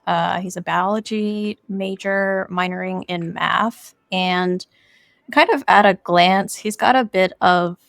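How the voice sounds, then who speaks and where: Woman, game creator, casual interview talk, gravelly creak, close dry sound. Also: fairly low pitch, fairly even intonation, takes it slowly, fairly bright tone, dry recording. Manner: informal, explanatory, peppered with "like" and "kind of".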